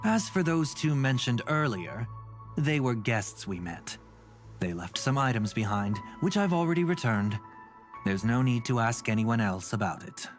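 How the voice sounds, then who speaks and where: Authoritative, guarded gentleman played by a man: formal and controlled, lively melodic intonation, video game scene with music. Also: medium pitch, measured pace, close to an everyday voice. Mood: smooth, controlled. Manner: smooth-talking, controlled, formal. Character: composed, authoritative, guarded gentleman, protective elder brother. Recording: background music under voice.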